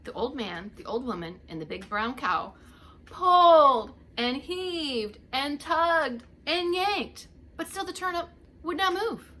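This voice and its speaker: A woman's voice: high-pitched, expressive, slow pace, pushed and bright. A woman reading a story aloud to young children like a preschool teacher, theatrically drawing out the action words and talking to her listeners.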